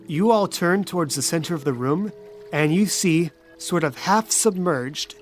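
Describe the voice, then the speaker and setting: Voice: mid-range voice, animated, a little slow, faint music behind. A game master narrating a tabletop role-playing game with descriptive, folksy phrasing.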